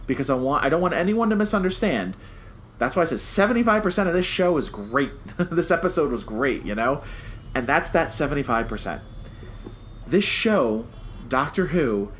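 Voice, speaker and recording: Male podcast host, mid-pitched, emphatic conversational reviewer who repeats himself for stress; some background noise. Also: a bit bright.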